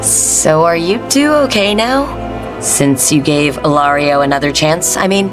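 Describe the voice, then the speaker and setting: An actress playing a cool, wry, protective heroine in a fantasy video game, with a teasing manner. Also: female voice, low-pitched, lively intonation, a little slow. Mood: curious. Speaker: player hero in a fantasy game. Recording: loud music behind the voice.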